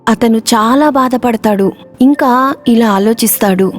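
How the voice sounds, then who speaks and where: A woman tells a children's moral tale as a scripted narrator. Her voice is on the high side, and soft music plays behind her. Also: unhurried. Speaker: storyteller, narrator.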